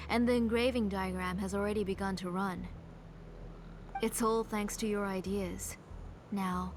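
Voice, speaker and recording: A woman voicing a middle-aged, grieving, obsessive mother in a video game, in a soft, hushed and fervent manner. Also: medium pitch, background noise, lightly acted. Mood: cold.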